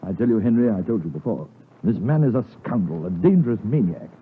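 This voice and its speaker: A worried, blunt British lawyer in a vintage radio play. His husky voice rises and falls widely as he speaks with formal emphasis. It comes through narrow and muffled, as on an old broadcast. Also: fairly low pitch, expressive, brisk. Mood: urgent warning. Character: stern, loyal gentleman lawyer, worried and blunt. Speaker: radio drama actor. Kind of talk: 1950s radio drama acting.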